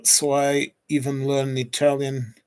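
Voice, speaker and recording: Portuguese-accented man in his forties; slow, hesitant livestreamer who restarts phrases. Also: mid-range voice, monotone, a little mellow, clean tone. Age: 40s. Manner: hesitant, pauses often with restarts and fillers. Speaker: streamer. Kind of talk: unscripted solo livestream chat.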